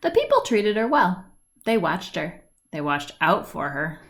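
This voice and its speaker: A woman reading a children's picture book aloud, with deliberate phrasing, stretched words and lilting lists. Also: lowish voice, big pitch swings, slight background noise. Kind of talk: read-aloud storybook narration. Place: indoors at home, at a wooden table by a window.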